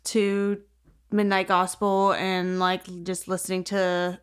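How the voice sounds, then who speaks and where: A woman's voice: medium pitch, monotone, takes it slowly, smooth. A young woman co-hosting a casual podcast, rambling and sweary, leaning heavily on filler words like "like". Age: young adult. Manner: rambling, sweary, heavy use of "like". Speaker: podcast co-host.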